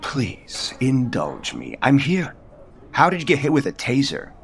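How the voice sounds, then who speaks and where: An actor playing a cold, embittered husband and developer in an audio soap opera, dry, haughty and sarcastic. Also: male voice, mid-range voice, huge pitch swings, unhurried, creaky, slight background noise, heavily acted. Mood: cold, bitter. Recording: prominent music bed behind.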